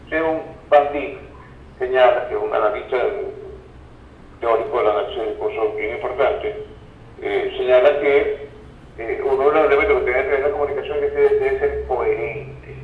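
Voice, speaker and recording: A Venezuelan man speaking as an interview guest, explaining analytically in a halting, didactic way and checking that he is being followed. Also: mid-range voice. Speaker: interview guest, communication researcher. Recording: roomy, distant, dull sound, faint noise.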